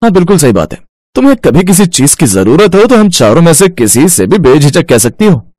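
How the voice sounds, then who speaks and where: A man voicing a respectful, earnest and excitable young village farmer in a storybook tale. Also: a little high, fairly quick, no echo, clean studio sound, pitched above the performer's own voice.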